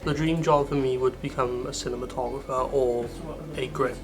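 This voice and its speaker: A man's voice: mid-range voice, steady tone, normal speed. Young American man, plain and level, music bed. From United States. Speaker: film apprentice. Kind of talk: interview answers. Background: background music.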